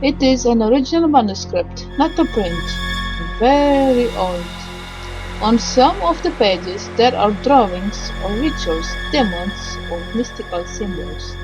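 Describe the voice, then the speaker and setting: High-voiced woman reading aloud over music. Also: expressive, low-quality recording. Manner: halting read-aloud with stumbles and pauses. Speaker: gamer reading a visual novel aloud. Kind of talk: game playthrough narration with asides. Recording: music behind the voice. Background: game music.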